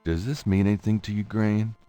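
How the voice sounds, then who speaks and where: A man's voice: lowish voice, fairly even intonation, brisk, mellow, smooth, no room sound, lightly acted. A man playing an inquisitive, easygoing grown grandson, the hero of an adventure game, asking casual, probing questions. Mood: casual, curious. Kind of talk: voice acting in a 1990s adventure game. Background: game music.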